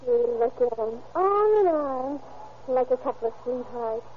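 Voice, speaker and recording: A wistful young sweetheart with a high, girlish voice. She gushes earnestly and tenderly. The sound is thin and tinny, like a phone line. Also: animated, a little slow, a little hiss or hum. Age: young adult. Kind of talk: 1940s radio acting. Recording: tinny lo-fi old radio broadcast.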